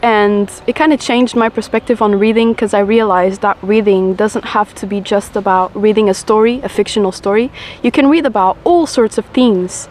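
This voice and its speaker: A young woman in her twenties, from Portugal, with a low voice, chatting reflectively and a bit ramblingly to camera while smoking. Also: fairly even intonation, normal speed, darkish tone, a little hiss or hum. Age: 20s. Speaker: vlogger. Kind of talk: casual chat to camera.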